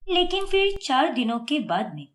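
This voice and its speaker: Animated, high-voiced woman storyteller. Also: moderate pace. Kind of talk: scripted Hindi moral-story narration.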